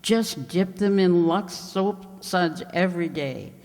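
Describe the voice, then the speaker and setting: A woman playing a kindly, practical aunt in an old-time radio commercial, gently instructive and reassuring. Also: on the low side, slow pace, in a large hall. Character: kindly, practical aunt giving household advice. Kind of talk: 1940s radio commercial acting.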